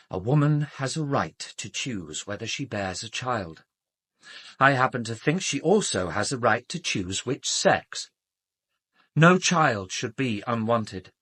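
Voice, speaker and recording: Haughty, husky British doctor. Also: male voice, on the low side, fairly bright tone, heavily acted. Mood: self-justifying. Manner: haughty, precise, emphatic. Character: arrogant, self-justifying male doctor under police questioning. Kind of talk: British radio drama acting.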